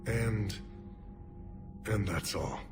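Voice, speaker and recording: An actor playing a weary, guarded alien leader and former general in a video game, measured and grave, over music. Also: male voice, fairly low pitch, lively intonation. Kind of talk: video game cutscene acting.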